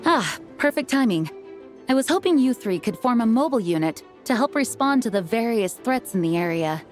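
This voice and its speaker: An actress playing an authoritative, dutiful knight commander in a video game, with crisp, formal delivery. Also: female voice, a little high, lively intonation, fairly quick, darkish tone, soft background music, natural voice. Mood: firm briefing.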